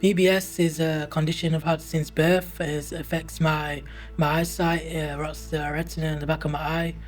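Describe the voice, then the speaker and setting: A man in his early twenties talking hesitantly in a documentary interview, with halting phrasing and frequent restarts. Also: fairly high pitch, level tone, soft background music. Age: 20s. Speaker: interviewee, university student.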